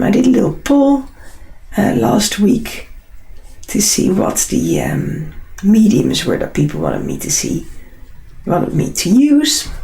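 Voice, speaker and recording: A woman with a Dutch accent and a husky, unwell voice, chatting casually and unhurriedly as she hosts a live drawing tutorial. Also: mid-range voice, dark tone, roomy sound, rough recording. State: unwell, struggling hoarse voice. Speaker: artist hosting a live drawing session.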